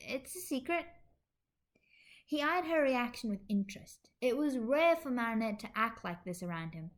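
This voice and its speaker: A woman's voice: fairly high pitch, lively intonation, normal speed. A woman narrating fan fiction expressively as a podfic reader.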